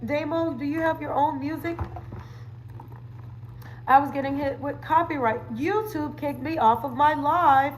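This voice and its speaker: Female livestream host, higher voice, slow easygoing pace, playful slangy chat, slight background noise. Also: low-quality recording. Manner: playful, chatty, with slang catchphrases and frequent laughter. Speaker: livestream host and wellness coach. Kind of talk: casual livestream chat, answering viewers.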